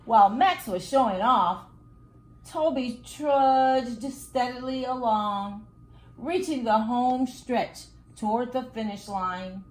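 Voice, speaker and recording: A woman storyteller reading a children's book aloud in a high, very slow voice. Her phrasing is dramatic and lively, and the sound is echoey and roomy. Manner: deliberate, dramatic phrasing with acted sound words. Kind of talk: expressive picture-book read-aloud.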